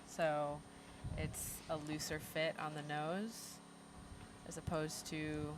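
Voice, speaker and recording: Woman, low voice, soft-spoken co-commentator, chatty, noisy outdoor stream. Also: little pitch movement, slow pace. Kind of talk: live sports commentary. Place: outdoors at a seaside dive event.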